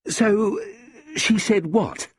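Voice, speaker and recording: A man voicing a bewildered, polite upper-class gentleman who speaks mildly and apologetically, with a husky voice. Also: high-pitched, sing-song, very slow, pitched above the performer's own voice, heavily acted. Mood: puzzled. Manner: mild, apologetic and puzzled.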